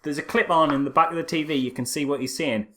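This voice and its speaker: A man's voice: on the high side, fast talker, fairly bright tone. A man co-hosting a casual film review chat, speaking in a sarcastic, incredulous way with frequent asides. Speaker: podcast co-host.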